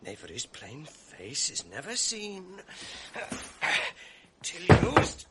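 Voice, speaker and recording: Sly, mocking stage villain, lively swooping delivery, noisy old radio broadcast. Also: male voice, on the high side, drawn-out words, exaggerated voice. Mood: brooding. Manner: sly, mocking, drops to venomous whispers. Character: scheming, bitter male villain ensign. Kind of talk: classic radio drama acting. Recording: old broadcast with audible noise.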